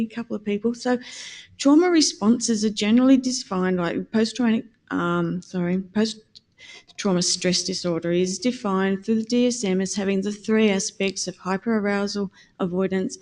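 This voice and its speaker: A woman giving an informal, chatty lecture to nurses at a conference. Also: mid-range voice. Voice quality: quiet voice. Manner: informal, chatty, self-correcting. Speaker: conference speaker, nurse educator. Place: conference room. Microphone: handheld or stand microphone, at times held away.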